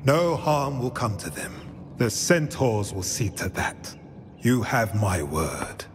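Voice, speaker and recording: A low, husky centaur sage for a fantasy game. He speaks slowly and formally, with wide swings of intonation, solemn and cryptic yet protective. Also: very animated, a little hiss or hum. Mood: reassuring. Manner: formal, measured, archaic phrasing. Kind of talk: video game voice acting.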